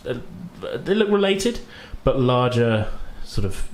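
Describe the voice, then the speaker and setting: A man's voice: medium pitch, very animated, slow pace. A man running a tabletop game, improvising descriptions in a loose, colloquial, hesitant way. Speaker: game master. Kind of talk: improvised tabletop game narration and casual chat. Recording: roomy echo, faint background noise.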